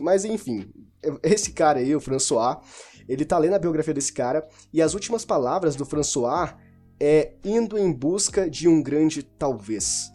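Man, slightly high mellow voice, loose rambling book talk, faint music behind. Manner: informal, rambling with restarts and self-corrections. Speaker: book reviewer. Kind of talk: casual spoken book discussion.

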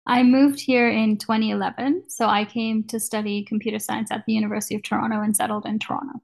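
Gravelly Kenyan-born woman, chatty guest. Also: fairly high pitch, level tone, fast talker. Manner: chatty, laughs easily. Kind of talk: casual interview.

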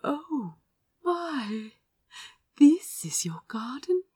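Genteel young-hearted prince female narrator acting: exclamatory, gentle, higher voice, very animated delivery for an audiobook. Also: extremely slow, no echo, clean studio sound, exaggerated voice. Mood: hushed awe. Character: Azra, refined male prince, gentle, delighted, easily awed. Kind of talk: storybook character reading.

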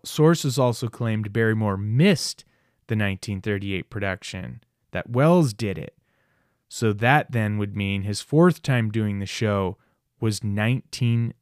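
A man's voice: fairly low pitch, clean tone, dry recording, clean studio sound. A man hosting a podcast in a scripted, playfully theatrical narrating style. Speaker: podcast host. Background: music behind the voice.

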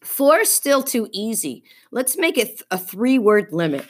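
A woman's voice: a little high, big pitch swings, unhurried, voice pushed up. A woman reading a children's story, voicing a smug, teasing fifth-grade boy with a mocking, needling manner. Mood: smug, challenging.

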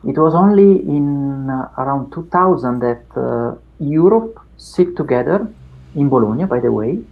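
A middle-aged man with an Italian accent, Italian his first language, talking through his past in an unhurried interview with many fillers and restarts. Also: mid-range voice, animated, vocal fry, phone-quality sound. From Italy. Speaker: academic guest. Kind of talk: reflective interview chat.

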